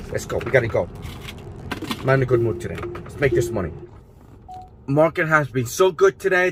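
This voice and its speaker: A man's voice: mid-range voice, fairly bright tone. A man driving for a rideshare service, talking casually to the camera in his car, tired and losing his voice, repeating his catchphrases. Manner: upbeat, repeats catchphrases, loose rambling talk. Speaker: rideshare driver. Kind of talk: casual vlog narration while driving.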